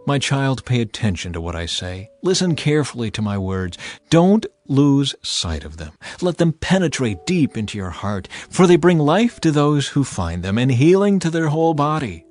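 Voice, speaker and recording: Male narrator for dramatized scripture audiobook, mid-pitched, highly varied intonation, theatrical exhorting delivery, faint music underneath. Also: very animated, moderate pace, a little mellow, no echo.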